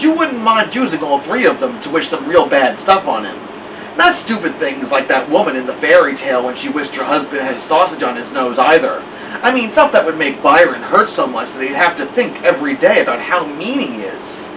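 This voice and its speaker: A man reading a novel aloud as first-person storybook narration. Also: a little high. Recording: dull, distant mic with loud air-conditioner hum.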